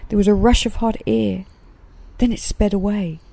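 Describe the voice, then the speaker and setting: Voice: mid-range voice, fairly even intonation, normal speed, dark tone, pitched above the performer's own voice, lightly acted. A storybook narrator voicing a frightened, adventurous young male koala with an urgent, exclaiming manner. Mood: terrified.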